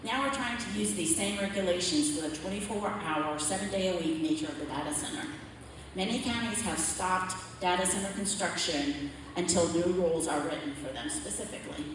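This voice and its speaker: A woman's voice: fairly quick, slight background noise. A woman giving a plain, explanatory slide presentation at a community forum, often checking in with "okay?". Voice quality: low voice. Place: large echoey meeting hall. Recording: echoey hall, voice from far off.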